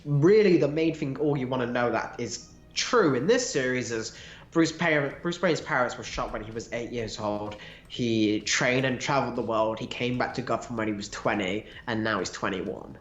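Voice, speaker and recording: A man co-hosting a casual livestream, answering viewer questions with animated, laughing asides. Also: mid-range voice, moderate pace, roomy sound, lo-fi sound.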